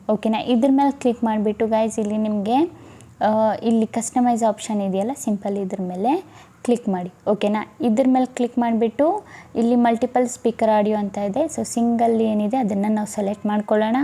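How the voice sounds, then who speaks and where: A woman's voice: on the high side, mellow. A woman giving a casual step-by-step tutorial, often checking in with her listeners. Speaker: tutorial host.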